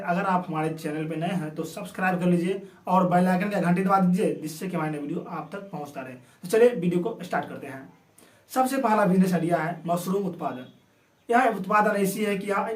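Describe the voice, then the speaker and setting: A high-voiced man explains things informally as a video host, speaking through a sore throat. He tends to repeat and rephrase his points. The recording is roomy and echoey, with him sitting back from the microphone. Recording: roomy echo, distant from microphone.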